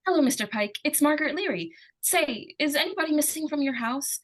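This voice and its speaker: Lady acting as anxious little girl in an old radio thriller, high voice, really insistent. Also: animated, fairly quick, very noisy. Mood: eager, polite. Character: plucky, anxious, insistent little girl narrating her adventure.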